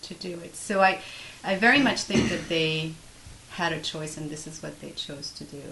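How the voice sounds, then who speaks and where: A woman whose first language is Arabic answers interview questions about her writing, her voice sitting a little low. She speaks in a measured way and circles back to restart phrases. A faint hiss runs under the recording. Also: creaky, a bit bright, rough recording. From Morocco. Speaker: author being interviewed.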